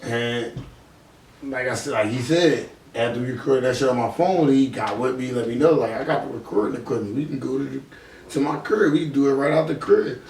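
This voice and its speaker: A man's voice: medium pitch, fairly quick, darkish tone. A man with a Philadelphia accent in casual podcast conversation, talking in slangy, profane language. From Philadelphia, United States. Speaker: podcast host. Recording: roomy echo, distant mic, faint noise.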